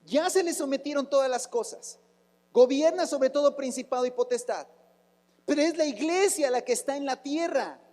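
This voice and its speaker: A raspy-voiced man preaching with fervor, his voice sitting unusually high for a man. He pushes through rhetorical questions and bursts out in sudden exclamations. The whole sermon rings with a long reverb from the church hall. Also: moderate pace, darkish tone. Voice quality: raspy, rough voice. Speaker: pastor.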